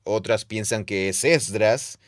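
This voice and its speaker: A man's voice: lowish voice, slow pace, dry recording, studio quality. A man co-hosting a podcast, explaining ancient texts at length and reading quotes aloud. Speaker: podcast co-host, Bible teacher.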